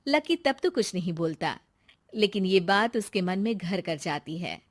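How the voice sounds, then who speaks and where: A woman's voice: mid-range voice, expressive, a little slow. A woman narrator reading a scripted moral story.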